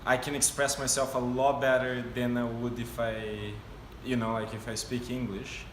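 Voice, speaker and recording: A man's voice: medium pitch, little pitch movement, moderate pace, a bit bright. Man, interviewee, casual and brief, mid voice, roomy echo. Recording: echoey room with faint noise.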